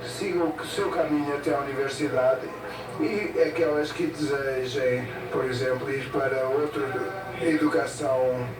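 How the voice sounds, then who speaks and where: A man, interpreting a speech at an event in short, halting phrases with pauses. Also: mid-range voice, steady tone, noisy background. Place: event hall with an audience. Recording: echoey hall from far off, loud music behind.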